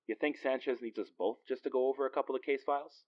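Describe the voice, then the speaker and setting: A man's voice: medium pitch, fairly even intonation, fast, muffled recording, lightly acted. A man playing a confident, wisecracking police detective in an audio drama, with a dry, teasing, natural delivery.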